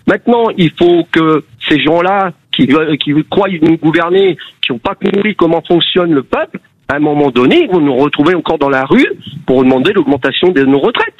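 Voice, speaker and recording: A man's voice: on the high side, lively intonation, a little fast, dry recording. An older man from the Paris region, calling in to a radio debate, indignant and groping for words. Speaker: caller. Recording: muffled phone line.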